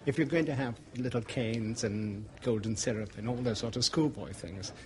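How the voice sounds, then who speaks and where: A man speaking as an agent in an interview, measured and slightly formal. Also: mid-range voice, slight background noise. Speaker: stripper agent.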